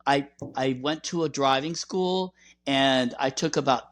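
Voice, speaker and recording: A man hosting a podcast, explaining things in a measured, conversational way. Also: medium pitch, a bit bright. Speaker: podcast host.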